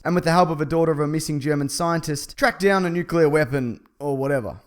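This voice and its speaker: A man hosting a scripted comedic film review, with sarcastic, dry humour and exaggerated delivery. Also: fairly high pitch. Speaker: film reviewer, channel host.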